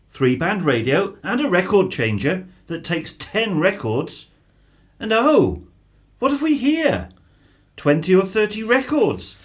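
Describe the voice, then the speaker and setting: An actor playing a suspicious, sarcastic middle-aged British husband and father in a radio drama. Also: sits high. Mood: accusing. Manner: sneering, mock-salesman sarcasm.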